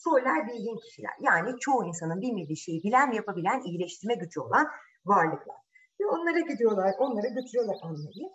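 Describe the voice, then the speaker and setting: A woman's voice: medium pitch, sing-song, fast, fairly bright tone. A woman storyteller narrating a fairy tale informally for children, dramatic and full of questions to her young listeners.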